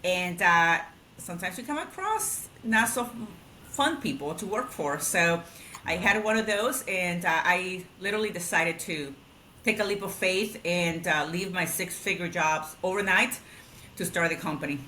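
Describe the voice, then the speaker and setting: Woman, CEO guest, slightly low voice, bright, animated storytelling. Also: normal speed, reverberant, a little hiss or hum. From Colombia. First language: Spanish. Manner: warm, animated storytelling with fillers. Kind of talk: interview storytelling.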